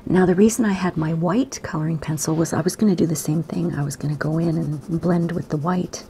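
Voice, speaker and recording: A woman, slightly hoarse today, gently talking kids through a drawing lesson in a soft, half-whispered voice. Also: low-pitched, fairly even intonation, a little fast, slight background noise. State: raspy, slightly sore throat. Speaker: art teacher for kids. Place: home room by a window.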